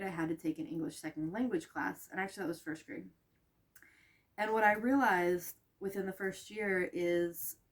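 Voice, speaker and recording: A young woman from Russia, whose first language is Russian, casually explains a reading lesson plan to the camera. She talks in a chatty, tired way, with many ums and restarts. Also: medium pitch. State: tired, low on energy. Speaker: student teacher presenting a reading lesson.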